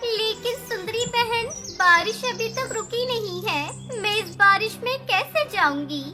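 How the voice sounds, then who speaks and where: Woman voicing a very high-pitched gentle mother bird. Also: expressive, unhurried. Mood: pleading. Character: gentle anxious mother bird, pleading and caring. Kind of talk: Hindi animated children's story dialogue. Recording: music behind the voice.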